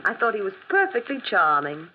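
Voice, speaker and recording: An actress playing a young, excitable daughter with a girlish manner in an old radio drama. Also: medium pitch, brisk, close to an everyday voice. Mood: dreamy, girlish. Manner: girlish, gushing. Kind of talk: 1940s radio acting. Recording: muffled noisy old radio recording.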